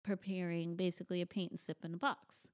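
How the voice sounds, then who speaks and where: A soft-spoken woman with a slightly low voice talks in an easygoing, casual way. She pauses often on ums and keeps her tone gentle and relaxed throughout. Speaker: guest, paint-and-sip art instructor. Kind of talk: casual podcast interview.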